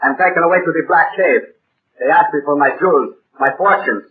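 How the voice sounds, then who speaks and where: A man's voice: on the high side, natural voice. A man acting old Spanish-accented rancher, tinny radio. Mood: anguished. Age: older. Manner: formal, halting broken English. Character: proud, sorrowful old Spanish-speaking rancher, tortured and robbed. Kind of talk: 1930s radio drama acting. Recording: tinny, band-limited 1930s radio recording.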